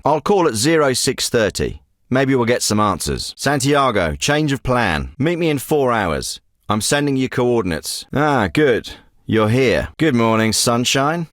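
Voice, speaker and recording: A man's voice: medium pitch, dry recording, studio quality, close to an everyday voice. A man playing a clipped commando officer. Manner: clipped military radio talk. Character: dry-witted British special forces officer, commanding. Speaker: special forces commander. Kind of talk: video game voice acting.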